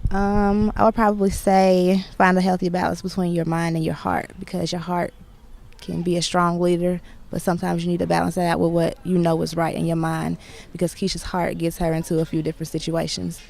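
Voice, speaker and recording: An actress answering interview questions in a measured, steady way. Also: female voice, lowish voice, gravelly, a little hiss or hum.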